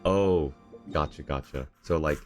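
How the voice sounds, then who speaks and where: Male livestreamer, very low pitch, casual, halting chat over faint music. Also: darkish tone. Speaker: game streamer.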